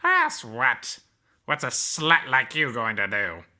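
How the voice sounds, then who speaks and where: A man's voice: medium pitch, huge pitch swings, slow pace, pushed and bright, pitched above the performer's own voice, exaggerated voice. A man voicing a callous animal bandit guard in a visual novel, speaking in a dismissive, sneering way.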